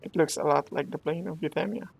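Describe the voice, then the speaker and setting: A woman with a husky, creaky voice doing casual gameplay commentary and reading along with a story quest. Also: deep voice, fast, background noise. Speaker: gamer playing through a story quest.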